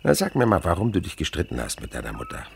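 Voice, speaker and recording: Gentle, gravelly older naturalist, low-voiced. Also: male voice, wildly swooping pitch, fast, heavily acted. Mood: teasing concern. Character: kindly older French naturalist, widower, indulgent with a boy. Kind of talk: radio play acting. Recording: faint background noise, night insects chirping. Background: crickets and animal sounds.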